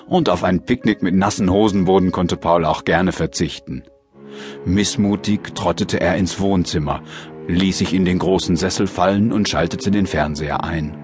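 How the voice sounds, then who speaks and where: A man with a low voice narrates a children's audiobook in a scripted storytelling style. Music plays behind his voice.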